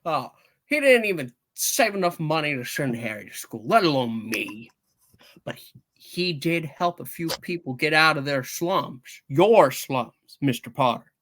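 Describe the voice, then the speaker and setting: Man acting an earnest, stammering young idealist, swooping pitch. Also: fairly bright tone. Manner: stammering, impassioned, halting. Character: earnest, indignant young man defending his late father. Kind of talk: hammed-up amateur reading of a classic radio play.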